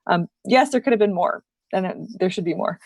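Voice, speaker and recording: A woman moderating a virtual panel in a brisk, conversational way over a video call. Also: mid-range voice, a little mellow, no echo.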